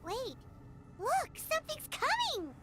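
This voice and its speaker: A woman voicing a small, childlike fairy companion in a video game, excitable and squeaky, with music behind. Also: unhurried, heavy background noise, full character voice. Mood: alarmed. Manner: excitable, exclamatory.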